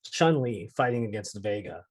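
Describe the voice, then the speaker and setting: A man's voice: lowish voice, lively intonation, moderate pace. A man co-hosting a casual chat, talking in an easygoing, reflective way. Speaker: co-host of a gaming chat show.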